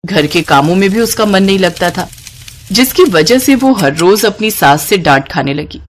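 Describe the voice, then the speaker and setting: A woman's voice: on the low side, animated, moderate pace. Woman narrating a scripted moral story.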